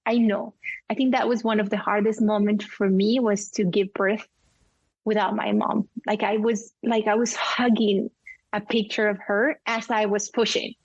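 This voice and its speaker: A woman's voice: medium pitch, little pitch movement, moderate pace, breathy edge, no room sound, lo-fi sound. A woman in her forties from Colombia with a Colombian Spanish accent, whose first language is Spanish, talking candidly and emotionally as an interview guest, with many fillers and restarts. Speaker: guest, cinematographer. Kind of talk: casual interview conversation.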